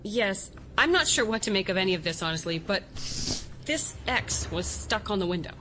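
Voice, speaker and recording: An actress playing a guarded, matter-of-fact apartment resident in an audio drama. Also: female voice, medium pitch, very animated, moderate pace, natural voice. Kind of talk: naturalistic audio drama acting. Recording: faint background noise.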